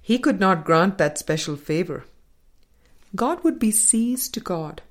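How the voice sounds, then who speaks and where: A woman narrates a spiritual self-help book as a scripted reading. Her voice sits a little low for a woman. She takes her time, moving very slowly and deliberately through each sentence. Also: expressive. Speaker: audiobook reader.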